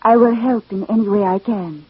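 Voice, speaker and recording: An actress playing a reserved, genteel new widow in old radio drama, speaking in a formal, guarded way. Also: female voice, mid-range voice, moderate pace, breathy edge, dry recording, natural voice. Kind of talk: 1940s-50s radio acting. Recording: tinny, phone-like old radio recording.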